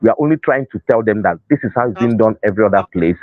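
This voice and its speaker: A man's voice: on the low side, expressive, rapid-fire, no echo, phone-quality sound. A man with a Nigerian accent explaining things as an interview guest, unscripted, often repeating and rephrasing his phrases. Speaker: interview guest, festival organiser.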